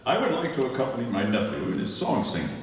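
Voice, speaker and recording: A man's voice: medium pitch, big pitch swings, fast talker, a little hiss or hum, lightly acted. A man playing an old-fashioned, self-assured uncle with quaint formal phrasing in a live radio comedy. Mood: earnest, self-assured. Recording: echoey hall, distant mic.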